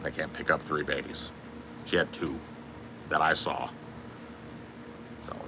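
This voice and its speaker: A man with a booming voice, telling stories in a casual, profane podcast chat. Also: fairly even intonation, a little slow, noisy background. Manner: profane, storytelling. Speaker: podcast host.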